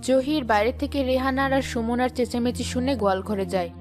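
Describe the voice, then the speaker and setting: A woman's voice: a little high, fast talker. A woman giving scripted narration as the storyteller of a cartoon tale. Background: music.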